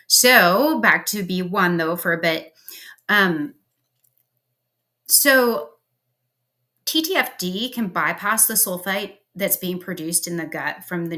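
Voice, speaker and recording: Woman explainer, lowish pitch, bright tone, chatty lecture style with fillers, recorded at home. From Pennsylvania, US. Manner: casual and conversational, with frequent ums and likes. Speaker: health educator. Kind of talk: explanatory health lecture over slides.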